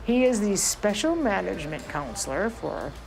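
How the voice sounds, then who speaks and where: A woman's voice: medium pitch, big pitch swings, normal speed, vocal fry, music in the background, poor audio. A woman jail inmate speaking wryly and jokingly in a documentary interview. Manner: wry, joking.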